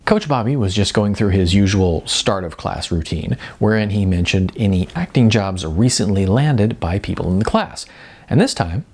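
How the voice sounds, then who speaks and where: A man reads a story aloud as its narrator. His voice sits fairly low and moves through lively, varied pitch, giving the storytelling plenty of expression. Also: moderate pace, slightly rough.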